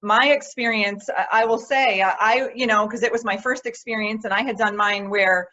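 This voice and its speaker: A woman's voice: medium pitch, steady tone, normal speed, sharp tone. A woman hosting an interview podcast, eagerly describing her own experience and asking her guest questions. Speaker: podcast host. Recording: dull lo-fi sound.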